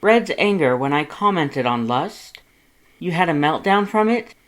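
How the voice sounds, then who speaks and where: A loud, grandiose skeleton brother from a storybook. He speaks high and forcefully, over-enunciating every formal phrase. He is earnest, dramatic and very sure of himself. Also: animated, a little slow. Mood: earnest, scolding.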